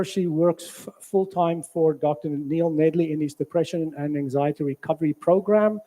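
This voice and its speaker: A man in his sixties from South Africa with a South African accent, a physician giving a halting health talk at a church, with many fillers. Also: fairly high pitch, warm tone. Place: church hall.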